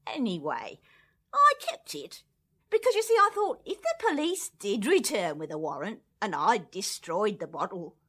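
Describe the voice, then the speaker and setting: A woman's voice: mid-range voice, wildly swooping pitch, moderate pace, pushed and bright, slightly rough, heavily acted. A woman playing an indignant, nosy working-class English landlady who bosses and scolds garrulously in a radio drama. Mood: self-righteous, gossipy. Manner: scolding, garrulous, self-righteous.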